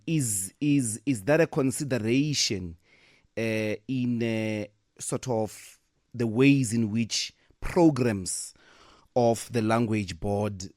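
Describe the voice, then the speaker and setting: A man with a lowish voice hosts a radio talk show from the studio. He feels his way through long questions, with plenty of fillers and hedges. The mic sound is close and dry. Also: a little mellow, no echo. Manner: searching, hedging questions with many fillers. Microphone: studio microphone.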